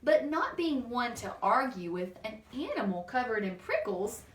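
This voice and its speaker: A woman's voice: a little high, very animated, normal speed. A woman reading a children's picture book aloud expressively, with chatty asides and questions to a young listener. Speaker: storybook reader and teacher. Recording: roomy, distant microphone, background noise.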